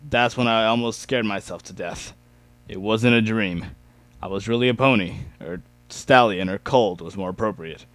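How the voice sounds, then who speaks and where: A man's voice: on the low side, a bit bright, no room sound. A young man giving an amateur dramatic reading of a first-person story.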